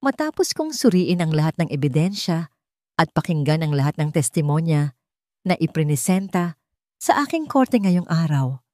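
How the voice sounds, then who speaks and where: A woman voicing a calm, authoritative female judge in an audio story, measured, formal and firm. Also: low voice, dry recording, studio quality. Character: calm, authoritative female family-court judge delivering a verdict.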